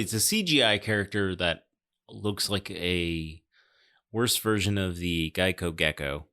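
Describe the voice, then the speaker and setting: A man with a fairly low voice talks about film as a podcast host. His delivery is very slow and halting, with a dry, understated way of putting things. The style is casual and conversational. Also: bright, forceful tone.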